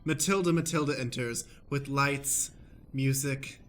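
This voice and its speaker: A man's voice: medium pitch, a little slow. A man acting as stage manager, reading out scene directions for an improvised radio play.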